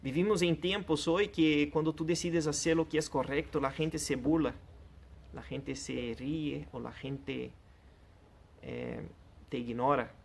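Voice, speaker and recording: Earnest pastor with a gravelly voice. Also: male voice, on the high side, fairly even intonation, a little hiss or hum. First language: Portuguese. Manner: earnest, direct address to the listener, slowing for emphasis. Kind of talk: sermon.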